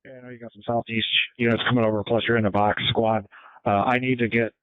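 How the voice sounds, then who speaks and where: A man's voice: mid-range voice, level tone, quick pace, no echo. An American man coordinating units as a police officer over the radio. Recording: tinny narrowband police radio recording.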